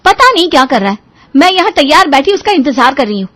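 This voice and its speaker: A woman's voice: very high voice, a little fast, bright, forceful tone, voice pushed up. A woman voicing an angry, demanding young wife who scolds and complains in a dramatised audio story. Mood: impatient.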